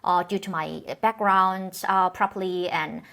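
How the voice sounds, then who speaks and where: A woman from North Korea with a Korean accent, a non-native English speaker, hosting an interview in careful, halting English with frequent restarts. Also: mid-range voice, fairly even intonation, creaky, fairly bright tone. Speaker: host. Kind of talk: conversational interview.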